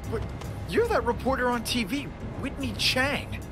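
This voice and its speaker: An actor playing a young, cocky but good-hearted masked superhero in a video game, quippy and playful. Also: male voice, extremely high pitch, big pitch swings, normal speed. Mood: surprised, teasing. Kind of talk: video game cutscene acting. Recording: loud music under the voice.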